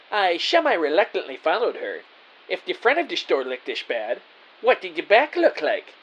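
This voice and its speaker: A man's voice: on the high side, fairly bright tone, breathy edge, dull audio, heavily acted. A man playing an earnest, naive detective who narrates his own story in a scripted audio drama, with a theatrical delivery.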